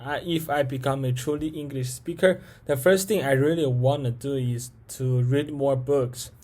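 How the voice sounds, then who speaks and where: A man's voice: mid-range voice, a little mellow. Hesitant young man, native Chinese speaker. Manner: hesitant, halting with fillers and restarts. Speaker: international university student. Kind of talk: spoken assignment answering set questions.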